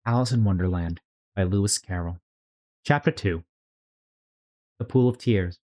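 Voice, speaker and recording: A storyteller reading a children's classic aloud as expressive narration for a read-along. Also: low-pitched, unhurried.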